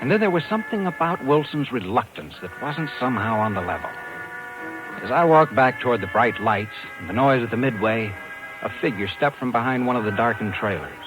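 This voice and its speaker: An actor playing a brash, persistent, inquisitive talent agent, narrating and acting in hardboiled 1950s radio style. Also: male voice, mid-range voice, lively intonation, a little fast, lightly acted, poor audio. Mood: wry, brooding. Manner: hardboiled, insistent. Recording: vintage 1950s radio with music behind.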